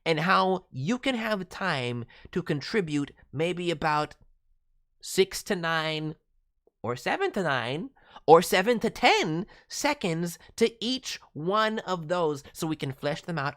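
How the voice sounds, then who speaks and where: A woman with a low voice hosts a casual review stream. Her pitch moves around a great deal as she coaches in an animated, encouraging way. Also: slow pace. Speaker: streaming host and voice director reviewing demos. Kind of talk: casual livestream critique.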